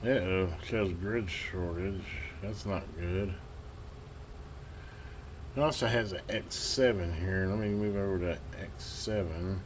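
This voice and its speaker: Slow-talking man muttering through a repair. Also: sits low, a little hiss or hum. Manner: halting, muttering to himself while working. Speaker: vintage radio hobbyist hosting a repair video. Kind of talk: casual hands-on repair commentary.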